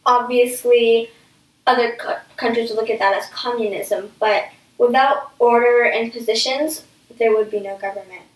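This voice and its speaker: A woman's voice: fairly high pitch, steady tone, normal speed. A woman answering as an interview guest in an explanatory way, recorded at a distance in an echoey room.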